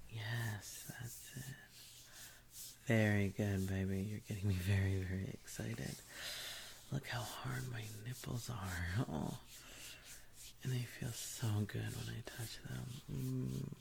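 A woman doing an intimate whispered audio roleplay, teasing and commanding in a breathy whisper close to the mic. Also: extremely low pitch, a little mellow, a little hiss or hum.

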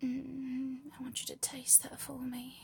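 British woman doing whispered intimate erotic audio, really slow n breathy, high voice w creaky bits. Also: completely flat delivery, a little mellow, slight background noise. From United Kingdom.

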